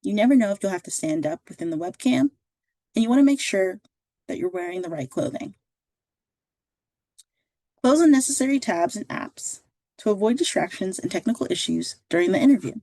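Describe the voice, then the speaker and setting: A woman's voice: medium pitch, lively intonation, a little fast, long pauses, mellow, no echo. Woman narrating instructional slides, dry close sound. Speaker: career services presenter.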